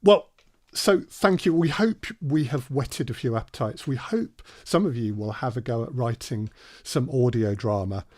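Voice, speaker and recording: A man presenting an informal workshop as an audio drama producer, explaining things in a halting way with frequent pauses. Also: mid-range voice, a little mellow, dry recording, clean studio sound.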